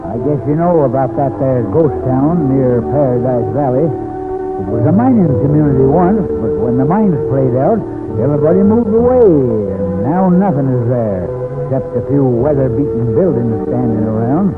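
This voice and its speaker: A man's voice: medium pitch, lively intonation, moderate pace, over loud music, close to an everyday voice. A man playing a folksy, boastful old cowboy sidekick in an old radio western, with a crusty Western drawl and blustery homespun talk. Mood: folksy storytelling. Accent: rural American West. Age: older. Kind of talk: 1940s-50s radio western acting. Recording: tinny, narrow old radio recording.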